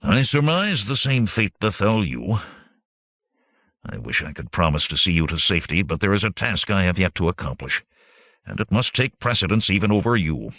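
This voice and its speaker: A blunt young warrior of a fantasy audiobook, acted by a male narrator, speaks low and with feeling. His words are grave and formal, with ardent swings in tone and a stern, honest temper. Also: very animated, moderate pace, a bit bright, no echo, clean studio sound, exaggerated voice. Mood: grim, resolute. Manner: grave, formal, declarative speech.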